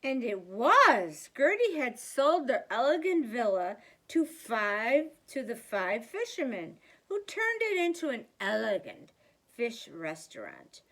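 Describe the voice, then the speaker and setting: Slow, animated woman reading a storybook. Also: a little high. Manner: slow, deliberate, theatrical emphasis. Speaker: storyteller reading a picture book. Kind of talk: expressive children's storybook read-aloud.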